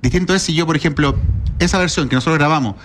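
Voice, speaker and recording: A man's voice: on the high side, rapid-fire, fairly bright tone, a little hiss or hum. A Chilean man, a musician being interviewed, chatting casually and telling anecdotes in colloquial speech with quoted dialogue. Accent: Chilean Spanish.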